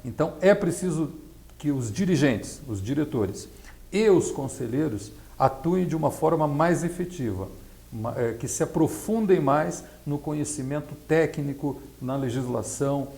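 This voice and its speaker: A middle-aged man explaining his field as an interview guest, didactic and halting, often adding tag questions. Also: a little high, animated, vocal fry, dark tone. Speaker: interview guest, accountant and nonprofit consultant.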